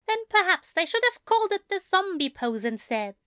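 Lady doing a fussy angel bookseller guy, prim n wry, super swoopy pitch, bright n high. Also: sing-song, moderate pace, exaggerated voice. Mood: wry, drowsy. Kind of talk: audio fan fiction character acting.

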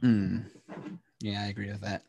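A man's voice: lowish voice, level tone, moderate pace, vocal fry, background noise. A young man co-hosting a podcast, mostly chiming in with brief agreeing replies and easy laughter during casual football chat. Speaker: podcast co-host.

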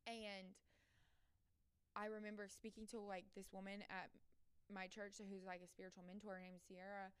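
A woman's voice: mid-range voice, level tone, moderate pace, clean tone, dry recording. A woman in her twenties hosting a solo podcast, talking casually and earnestly in an encouraging, emphatic, conversational monologue. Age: 20s.

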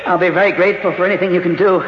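A man's voice: sits high, fairly even intonation, close to an everyday voice. An actor playing an anxious, earnest father of a young boy in a 1970s radio drama. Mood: anxious, pleading. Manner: earnest, halting when flustered. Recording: muffled, hissy old radio recording.

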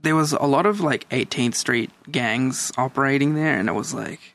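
Gravelly-voiced man in casual chat. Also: mid-range voice, normal speed, fairly bright tone. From El Salvador. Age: 30s.

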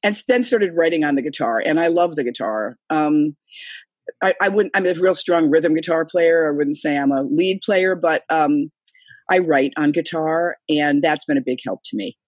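A woman's voice: deep voice, normal speed, darkish tone, no room sound. An older woman, a singer being interviewed over a computer call, talking in a chatty, rambling way with lots of fillers. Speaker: singer and guest. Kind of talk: casual interview conversation. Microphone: remote call, computer.

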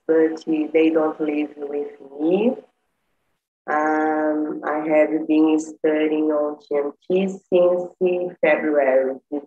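Low-voiced Brazilian woman in her fifties. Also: mellow, slight background noise. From São Paulo state, Brazil. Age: 50s. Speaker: retired chemistry teacher, participant. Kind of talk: casual online English practice chat. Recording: echoey, dull video call audio.

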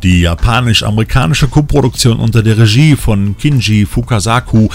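A man's voice: lowish voice, brisk. A man hosting a magazine show, reading scripted narration. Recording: music bed behind the voice. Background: music.

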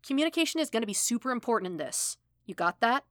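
A woman's voice: a little high, big pitch swings, fast, a bit bright, dry recording, voice pushed up. Male mentor, acted by a woman narrator: blunt, reassuring, casual phrasing, dry storybook sound. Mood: earnest, protective. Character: gruff but caring male mentor, casual, reassuring billionaire.

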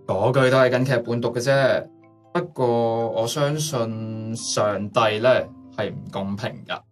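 A woman's voice: very deep voice, fairly even intonation, measured pace, far from the mic, soft background music. A woman acting a suave, theatrical young older brother in a radio drama, with a Hong Kong Cantonese accent. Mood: suave, flattering.

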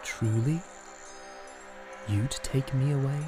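A woman's voice: a bass voice, takes it slowly, over music. A woman playing a mischievous, dreamy young prince with a cheeky, defiant streak in a fantasy audio drama. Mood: wistful.

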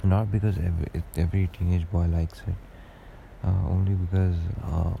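A young man with a deep voice, rambling through a casual solo podcast monologue with lots of hesitations. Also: level tone, normal speed, creaky, mellow, muffled recording, a little hiss or hum. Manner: rambling and hesitant, many fillers and restarts. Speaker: podcast host.

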